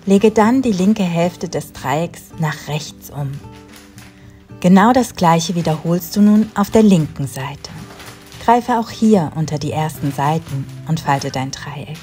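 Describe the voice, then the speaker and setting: A woman in her thirties calmly narrating a home cleaning routine in a voice-over, giving step-by-step tips straight to the viewer. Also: on the low side, slow pace, soft background music. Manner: calm, instructive, talks directly to the viewer. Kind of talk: calm scripted voice-over narration.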